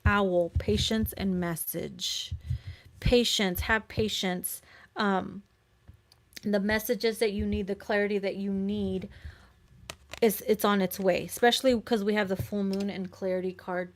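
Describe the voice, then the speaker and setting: A woman hosting a live tarot reading in an informal, chatty, encouraging way, her voice a little hoarse from talking. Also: mid-range voice, steady tone, a little slow. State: slightly hoarse from long talking. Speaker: tarot reader hosting a livestream. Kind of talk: casual live card reading addressed to viewers.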